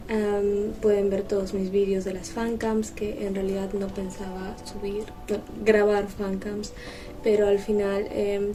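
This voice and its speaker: A woman vlogger chatting casually to camera, explaining things in a conversational way. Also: medium pitch. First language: Spanish. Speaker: vlogger, host. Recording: distant mic with music behind.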